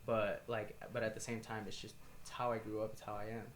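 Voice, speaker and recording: A young man hosting a casual podcast, an animated storyteller who slips into easy slang. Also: fairly low pitch, a little fast, slight background noise. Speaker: podcast host. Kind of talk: casual interview.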